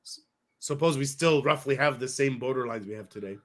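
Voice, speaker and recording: A man's voice: mid-range voice, fairly quick. A man hosting a podcast, chatting casually and thinking aloud in a friendly way, hedging with "you know". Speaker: podcast host. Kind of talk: casual conversation.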